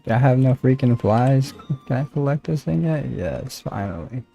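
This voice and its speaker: A man's voice: medium pitch, animated, moderate pace, creaky, mellow, soft background music. A man livestreaming a game, chatting casually with viewers in a chummy, slangy way. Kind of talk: casual game stream chat.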